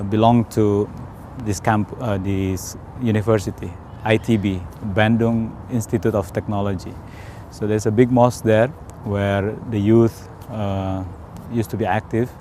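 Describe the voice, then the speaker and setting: A man with an Indonesian accent giving an unscripted personal account in a halting, hesitant way with frequent pauses. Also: lowish voice, flat delivery, mellow, slight background noise. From Indonesia, South Sumatra. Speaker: interviewee telling their own life story. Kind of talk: unscripted personal testimony in English.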